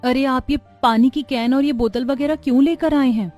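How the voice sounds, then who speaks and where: A woman's voice: high voice, steady tone, moderate pace, darkish tone, faint music behind, natural voice. A woman voicing a resourceful, caring young village housewife and mother in a cartoon story. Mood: curious, practical.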